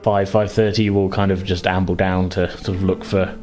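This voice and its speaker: A man's voice: sits low, little pitch movement, normal speed, a little mellow, faint music behind. A man casually refereeing a tabletop game as game master, hesitant and easygoing as he thinks aloud.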